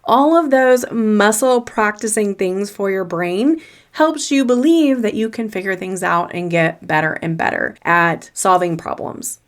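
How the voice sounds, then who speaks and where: Woman w a slight southern accent, podcast coach giving pep advice, mid-pitched voice, earnest and encouraging. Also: unhurried. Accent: faint Southern US. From Southern United States. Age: young adult or older. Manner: earnest, encouraging, repeats key phrases. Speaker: podcast host and confidence coach. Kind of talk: motivational solo podcast talk.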